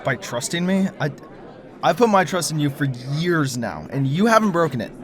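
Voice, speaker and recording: A man playing a wary, secretive conspiracy theorist in an audio drama, speaking in a halting, hesitant way. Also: a little high, big pitch swings, a faint crowd. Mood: pleading. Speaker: lead character.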